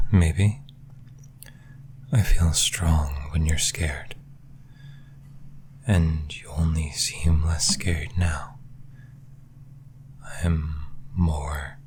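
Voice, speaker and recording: A man voicing a lonely, clingy sleep paralysis demon in an intimate audio roleplay, hushed and hesitant, often slipping into a breathy whisper. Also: a bass voice, a little hiss or hum. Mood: hesitant, weary.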